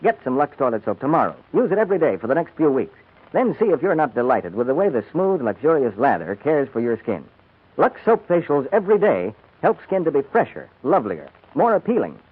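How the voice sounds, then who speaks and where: An American man working as a 1940s radio announcer, delivering a polished, emphatic advertising pitch. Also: sing-song, fairly quick, slightly rough. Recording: tinny 1940s radio recording.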